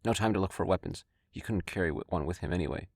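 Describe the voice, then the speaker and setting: Low-voiced man narrating, noisy recording. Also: quick pace. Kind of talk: scripted audio drama narration. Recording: clearly noisy recording with clicks.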